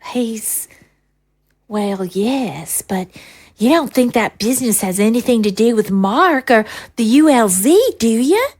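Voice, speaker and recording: A woman's voice: fairly high pitch, lively intonation, unhurried, darkish tone. An actress playing an anxious, polite first lady in an audio drama, earnest and hesitant in her delivery. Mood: worried, earnest.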